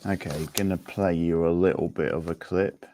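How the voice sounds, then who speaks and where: A man's voice: low-pitched, steady tone, normal speed, mellow, slight background noise. A man hosting a quiz in a casual, chatty way, rambling a little as he thinks aloud. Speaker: quiz host.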